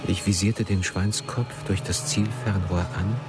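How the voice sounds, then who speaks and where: An actor playing a writer who narrates his own story in a radio play, in a measured, literary manner. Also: deep voice, fairly even intonation, loud music behind the voice. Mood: measured, observing. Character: male first-person narrator, a writer turned would-be marksman.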